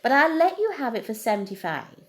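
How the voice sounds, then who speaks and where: A woman's voice: on the high side, very animated, a little fast, pitched above the performer's own voice. A kindly old badger who keeps a market stall in a storybook, acted by a woman reader. He is obliging and friendly, with lilting, varied intonation.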